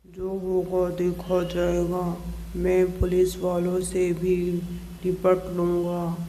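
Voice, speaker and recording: A man voicing a cruel, threatening crow husband in a cartoon story, with a deliberate, menacing delivery. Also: high voice, completely flat delivery, warm tone, slight background noise, low-quality recording. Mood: defiant, scheming. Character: cruel, threatening male crow husband who rejects daughters. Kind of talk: Hindi cartoon story voice acting.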